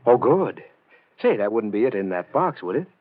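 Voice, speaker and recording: Man as a genial gentleman on tinny old radio. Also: mid-range voice, sing-song, moderate pace, close to an everyday voice. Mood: hearty, curious. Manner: hearty, polite, formal mid-century delivery. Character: genial, well-bred husband, unsuspecting and devoted. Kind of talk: 1940s radio drama acting. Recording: tinny, narrow, roomy old radio broadcast.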